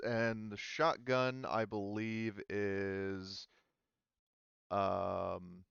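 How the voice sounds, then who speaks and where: Muffled, smooth low-voiced man running a game. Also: a bit bright, no echo. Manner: rambling, halting explanations. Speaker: game master. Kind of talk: casual tabletop game talk.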